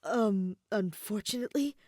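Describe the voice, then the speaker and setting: A woman voicing a polite but gently firm male office assistant in a story. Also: mid-range voice, normal speed, no echo, clean studio sound, natural voice.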